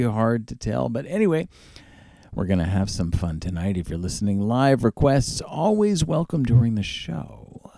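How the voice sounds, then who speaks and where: Radio DJ, animated and gravelly. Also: male voice, mid-range voice. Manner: chatty, informal. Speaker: radio DJ and show host. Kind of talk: casual radio show introduction. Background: music playing behind the voice.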